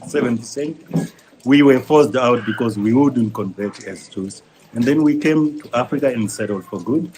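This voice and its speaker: A man answering interview questions about his community's history in a steady, informative way. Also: mid-range voice, poor audio. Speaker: synagogue president.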